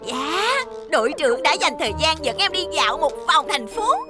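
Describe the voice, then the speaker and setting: A woman's voice: sits high, very animated, moderate pace. A woman voicing a sweet, naive young girl bus in a cartoon dub, gushing, sing-song and easily worried. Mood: excited. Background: music.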